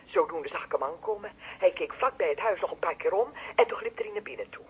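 Worried polite neighbour lady, dutch from netherlands, really breathy rough voice, dull phone sound, radio drama. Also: on the low side, expressive, fast talker. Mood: polite, explaining. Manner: hesitant yet insistent, polite. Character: watchful, deferential female neighbour, anxious to report. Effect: telephone filter. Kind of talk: radio play acting. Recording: muffled, band-limited telephone line.

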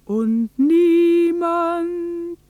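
A woman's voice: extremely high pitch, very slow, mellow, no room sound, full character voice. A woman playing a defiant old peasant woman in a German radio drama, curt and insistent as she guards her own ground. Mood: slow, incantatory. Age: older.

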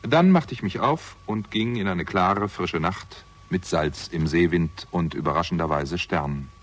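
An actor playing a jaded, hard-drinking theatrical agent with a conscience, sardonic and world-weary, in a 1960s German radio crime drama. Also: male voice, low voice, unhurried, fairly bright tone. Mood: wry narration.

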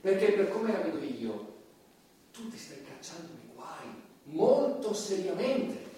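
An actor playing a stern, sardonic judge who interrogates with measured authority, in a theatrical stage style. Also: male voice, high-pitched, big pitch swings, warm tone, heavily acted. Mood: stern, threatening. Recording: echoey distant stage recording, faint noise.

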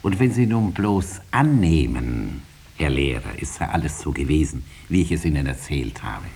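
A man's voice: rumbling low, big pitch swings, normal speed, a little mellow, heavily acted. A man playing a stubborn, money-hungry farmer in a 1950s radio play, plain-spoken, hesitant and defensive. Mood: uneasy. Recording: noisy vintage 1950s radio recording.

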